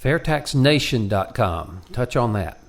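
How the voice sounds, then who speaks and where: A man with a medium-pitched voice acts as radio co-host. He talks in a casual, promotional way, plain-spoken and conversational, with folksy phrasing. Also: lively intonation, measured pace.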